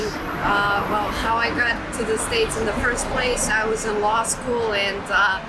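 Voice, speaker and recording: A young woman from Serbia, a guest in an interview, telling her story in a chatty, self-deprecating way with many fillers and restarts. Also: a little high. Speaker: guest, trucking entrepreneur. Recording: loud background noise.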